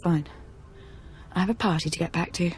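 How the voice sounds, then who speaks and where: An actress playing a flippant, spoiled young rich daughter in a video game, sassy and casual. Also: female voice, fairly low pitch, fairly quick, lightly acted. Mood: nervous, resigned. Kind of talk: video game dialogue acting.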